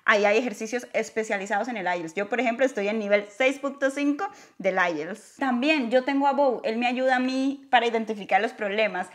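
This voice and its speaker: A woman's voice: fairly high pitch, fairly quick, few pauses, fairly bright tone. A young woman chatting casually on a sofa, explaining a pronunciation app and her English mistakes, laughing easily. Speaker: vlogger. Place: living room sofa.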